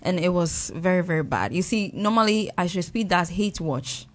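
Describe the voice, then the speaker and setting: Woman co-host, lowish voice, rambling storyteller. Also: lively intonation, moderate pace. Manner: rambling with restarts and "like". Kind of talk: casual chat.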